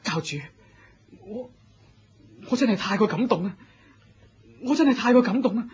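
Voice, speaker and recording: An actor playing an earnest, naive young disciple in a Hong Kong Cantonese radio drama, humble and deferential. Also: male voice, sits high, expressive, normal speed, breathy edge, dull audio, heavily acted. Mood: awed, excited. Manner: deferential, eager.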